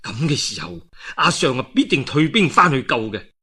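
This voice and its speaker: A man voicing a shrewd, calm military strategist in an oral historical tale, measured and persuasive, with a Guangdong Cantonese accent. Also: medium pitch, husky, exaggerated voice. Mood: calm scheming. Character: shrewd, calm male military strategist advising his lord.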